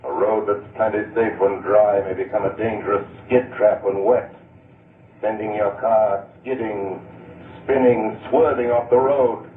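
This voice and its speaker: Low, ominous old radio warning voice by a man. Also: steady tone, unhurried, far from the mic, close to an everyday voice. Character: grave slow male voice of warning. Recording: tinny, echoey old radio recording.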